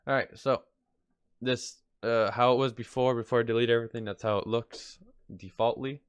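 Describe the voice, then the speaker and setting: A man with a slightly nasal, congested voice from being sick, rambling offhandedly through an unscripted software tutorial. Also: mid-range voice, steady tone, moderate pace, clear, resonant tone, no room sound, studio quality. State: sick with stuffy nose. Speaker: video tutorial host. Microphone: close mic, screen-recording voice-over.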